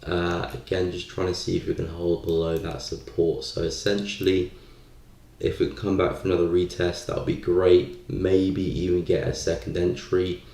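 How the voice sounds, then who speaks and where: A man's voice: low voice, completely flat delivery, normal speed, creaky, a little mellow. A man hosting a live stream, giving casual market commentary and thinking aloud in a hesitant way. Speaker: live stream trading host. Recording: roomy, echoey sound.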